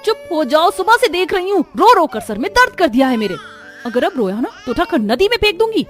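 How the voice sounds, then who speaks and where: A woman's voice: extremely high pitch, big pitch swings, brisk, faint music behind. A woman voicing a bitter, short-tempered young mother in a cartoon story, harsh and emphatic. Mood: exasperated, threatening.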